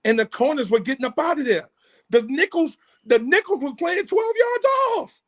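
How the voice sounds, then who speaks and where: A man's voice: very high-pitched, animated, normal speed. A man, a football coach, breaking down plays in casual talk and animatedly acting out what coaches say in the huddle. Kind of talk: casual sports analysis.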